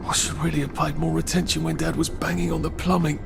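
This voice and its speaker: A man's voice: on the high side, level tone, quick pace, vocal fry, noisy background. An actor playing a mild, curious young Englishman in a video game, muttering hesitantly and wryly to himself with an English accent. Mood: wry musing.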